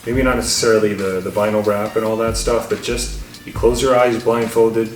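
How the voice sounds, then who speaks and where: A man giving a casual, unscripted gear review as channel host, halting and rambling, often repeating his words. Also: on the low side, flat delivery. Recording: roomy echo, distant mic, faint music.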